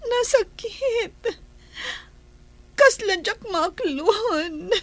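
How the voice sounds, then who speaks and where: A woman playing a jilted, jealous young woman in a melodramatic audio drama, with shrill, wailing, pleading delivery. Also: animated, extremely slow, no room sound, full character voice. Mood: hurt, tearful.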